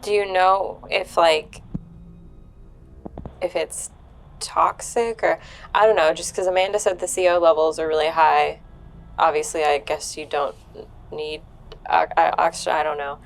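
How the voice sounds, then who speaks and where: A woman's voice: deep voice, level tone, measured pace, pauses often. A woman talking informally as a player in a tabletop roleplaying game. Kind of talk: casual, informal table talk.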